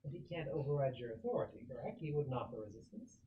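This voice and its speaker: A man playing a polite, logical synthetic android crewman in a tabletop game, with a formal, measured manner. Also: medium pitch, expressive, narrow, tinny audio, close to an everyday voice. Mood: calmly reassuring. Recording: faintly hissy room recording with clicks.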